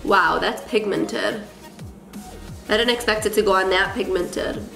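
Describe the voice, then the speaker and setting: A woman's voice: medium pitch, a little slow, creaky, fairly bright tone. Stuffy-nosed woman chatting through a makeup tutorial. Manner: chatty and gushing, with filler ums. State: congested and nasal from a cold. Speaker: makeup artist and beauty vlogger. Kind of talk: casual makeup tutorial chat. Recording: echoey room with faint music.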